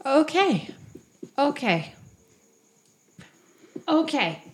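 A woman's voice: sits high, big pitch swings, drawn-out words. Chatty woman running a tabletop game. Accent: Irish. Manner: chatty, punny, laughing asides. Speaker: game master.

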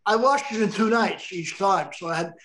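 A man's voice: high-pitched, expressive, moderate pace, fairly bright tone, poor audio. A man hosting a podcast in casual chat over a video call, rambling with lots of stumbling restarts. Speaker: podcast host.

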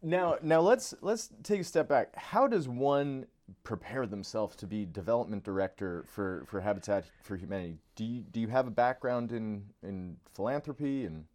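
A man's voice: medium pitch, expressive, normal speed, a little mellow. An American man hosting a podcast, speaking conversationally as he interviews a guest.

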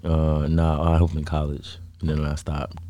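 Very deep, flat-voiced young American man. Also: normal speed, dry recording. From Chicago south suburbs, US. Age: 20s. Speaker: interview guest, music artist. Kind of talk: casual interview chat.

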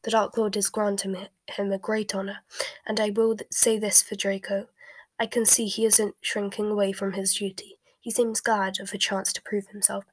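A woman's voice: mid-range voice, flat delivery, normal speed, no echo, natural voice. A woman voicing a haughty, ruthless woman with an imperious, dismissive manner in an audiobook story. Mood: proud, ruthless. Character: haughty, ruthless woman, proud zealot sister.

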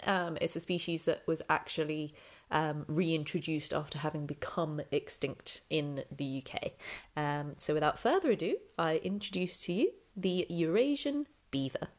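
A chatty young woman talks her way through a relaxed solo podcast, with plenty of ums and friendly asides. Her voice sits fairly low and comes across a little nasal and snuffly. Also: moderate pace. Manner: chatty, full of ums, rambling. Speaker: podcast host.

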